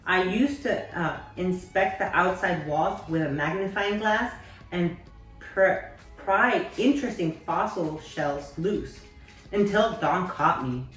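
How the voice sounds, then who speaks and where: A woman teacher reading a children's novel aloud in a measured, steady way, with occasional slips. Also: lowish voice. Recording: echoey room, distant mic, faint music.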